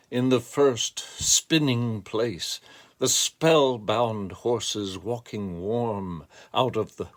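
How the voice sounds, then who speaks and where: Slow, gravelly man reciting and reflecting. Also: on the low side. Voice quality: gravelly, creaky voice. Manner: deliberate, measured delivery. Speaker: poetry reader. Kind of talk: reflective talk and expressive poetry recitation.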